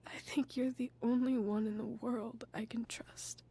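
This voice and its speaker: A woman playing a disillusioned, hurt teenage girl in a video game, speaking softly and pensively, often close to a whisper. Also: fairly high pitch, flat delivery, husky. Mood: bitter, hurt. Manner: slow, halting, pensive delivery. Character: disillusioned, wounded teenage girl confiding in her friend. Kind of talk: naturalistic video game voice acting.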